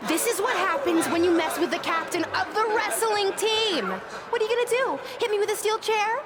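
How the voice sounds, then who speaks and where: A woman's voice: very high voice, moderate pace, a loud crowd, heavy background noise. A woman playing a swaggering, taunting teenage girl bully, captain of a wrestling team, in a video game. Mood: gloating, taunting. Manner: taunting, sneering.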